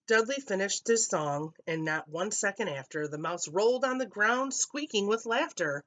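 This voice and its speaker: A woman storyteller reading a rhyming children's story aloud in an expressive way. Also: mid-range voice, normal speed.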